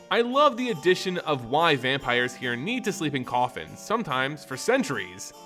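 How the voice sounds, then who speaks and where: Man, slightly high voice, expressive scripted fantasy narration, theatrical storyteller, soft music underneath. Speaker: lore storyteller and channel host.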